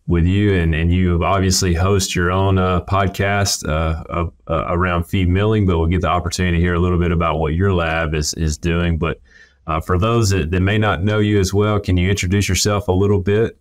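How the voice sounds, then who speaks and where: A man's voice: deep voice, level tone, normal speed. A middle-aged American man casually hosting a podcast interview from an office over a video call. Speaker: podcast co-host.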